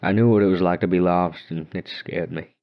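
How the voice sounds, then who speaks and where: A man with a very low voice tells a horror story in the first person, delivered as dramatic narration. The recording sounds dull, with the top end missing. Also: steady tone, brisk.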